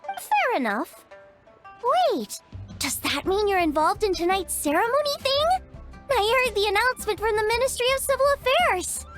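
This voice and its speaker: A woman's voice: very high-pitched, expressive, normal speed. A woman voicing a cheerful young woman cook in a video game, chatty and eager. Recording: game audio with music behind.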